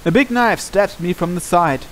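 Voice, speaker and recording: Higher-voiced man reading aloud, noisy background. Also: moderate pace, fairly bright tone. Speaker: let's player reading a visual novel aloud. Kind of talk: dramatic read-aloud of visual novel narration with brief asides.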